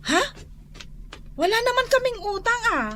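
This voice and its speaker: Casting: anxious, bossy big sister in radio drama, very high-pitched, sharp and forward voice. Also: female voice, big pitch swings, normal speed, a little hiss or hum, full character voice. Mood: flustered. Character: anxious, scolding older sister of a debtor. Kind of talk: radio drama acting in Ilocano and Tagalog.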